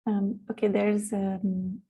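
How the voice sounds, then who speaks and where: A woman's voice: medium pitch, little pitch movement, slow, warm tone, slightly rough. A woman moderating a seminar, reading out a question from the chat.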